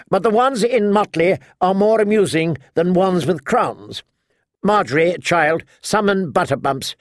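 A man voicing a sharp-tongued old noblewoman in an audiobook, imperious, blunt and talkative. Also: sits high, no room sound, clean studio sound, voice pushed up. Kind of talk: audiobook character acting.

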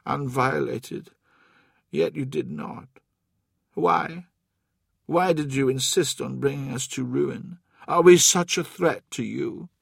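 An elderly man , acted out by a male audiobook reader, speaks with defiant, miserable scorn. His thick, antique accent comes out slowly and haughtily, in a husky, creaky voice recorded dry and close. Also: mid-range voice, a bit bright, dry recording, heavily acted. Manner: slow, deliberate, haughty, bitter.

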